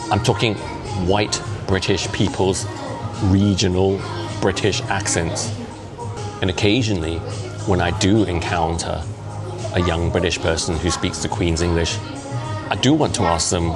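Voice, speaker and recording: A man in his fifties, a native Cantonese speaker, talks in a low, chatty voice straight to camera. He is animated and slips into little impressions of the people he quotes. Music plays clearly behind him. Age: 50s. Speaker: vlogger. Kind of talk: casual monologue to camera.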